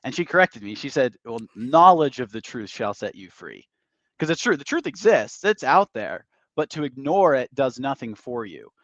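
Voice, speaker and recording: A man's voice: mid-range voice, very animated, moderate pace, fairly bright tone, dry recording, highs cut off. A man joining a podcast conversation as a guest, speaking in an earnest way.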